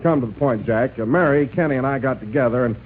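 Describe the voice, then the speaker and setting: A man's voice: mid-range voice, fast talker, close to an everyday voice. Man playing good-natured announcer, mid-range voice, peacemaking manner, old radio comedy, narrow tinny sound. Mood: hesitant, friendly. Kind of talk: 1930s radio comedy acting. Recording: scratchy, tinny old-time radio recording.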